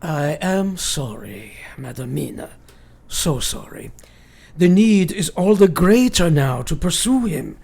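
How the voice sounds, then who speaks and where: An actor playing a grave, commanding elderly professor in a radio play, speaking in formal, old-fashioned, deliberate phrases. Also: male voice, on the high side, sing-song, vocal fry, a little mellow, breathy edge, heavily acted.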